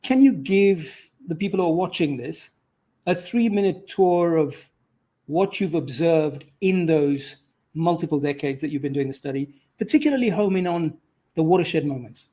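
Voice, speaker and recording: Male interview host, slightly high voice, conversational and effusive delivery. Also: animated, moderate pace, dark tone.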